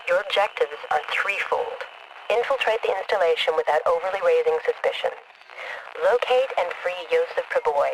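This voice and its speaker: A woman's voice: fairly low pitch, background noise, close to an everyday voice. A woman playing a businesslike military handler in a game, giving clipped, formal, authoritative orders. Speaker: mission controller. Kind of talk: scripted military briefing.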